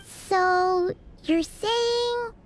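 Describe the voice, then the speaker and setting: A woman voicing a small, childlike fairy companion in a video game, squeaky, perky and supportive. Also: drawn-out words, faint music behind, exaggerated voice. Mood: uncertain. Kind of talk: English video game cutscene voice acting.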